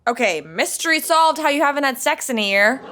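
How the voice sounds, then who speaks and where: A woman's voice: sits high, sing-song, brisk, background noise. A woman playing a dramatic, scheming twenty-something in a podcast sitcom, with a theatrical, exaggerated manner. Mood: exasperated. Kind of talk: sitcom acting.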